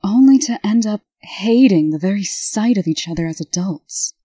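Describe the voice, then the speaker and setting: A woman's voice: medium pitch, expressive, moderate pace, mellow, breathy edge, no echo. A woman playing a prim, authoritative administrator and exasperated sister, formal and scolding, in a comic dub. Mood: hurt.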